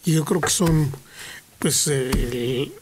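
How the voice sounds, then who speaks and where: A man from Mexico explaining in a slow, halting way on a radio panel. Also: a little high, background noise. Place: radio studio.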